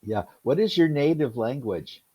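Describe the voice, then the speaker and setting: A man's voice: medium pitch, lively intonation, normal speed, slightly rough, dry recording, dull audio. A man from Los Angeles with an American accent, tutoring English in casual conversation, asking long, loosely stacked questions. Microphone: video call.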